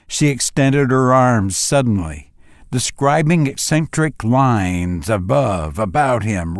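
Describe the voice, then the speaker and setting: A male audiobook narrator with a mid-range voice reads at an unhurried pace. His delivery is measured and dramatic, shaped by deliberate pauses. The sound is dry and close, with very little room in it. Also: mid-range voice, dry recording. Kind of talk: scripted literary story narration.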